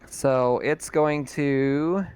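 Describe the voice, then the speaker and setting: A man running a tabletop roleplaying game as game master, explaining rules in a relaxed way with fillers. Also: on the high side, very slow.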